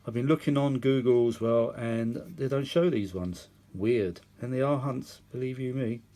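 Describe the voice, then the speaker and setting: Male, chatty hobbyist repairer, mid-pitched, casual hands-on commentary, matey and rambling. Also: moderate pace, dark tone, a little hiss or hum. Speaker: vintage audio repair hobbyist and video host. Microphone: phone held or propped at the workbench.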